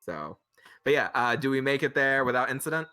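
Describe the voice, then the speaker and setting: A talkative man chatting casually as a player in a tabletop roleplaying game, quick to cut in on others. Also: mid-range voice, expressive, pushed and bright, smooth, no echo.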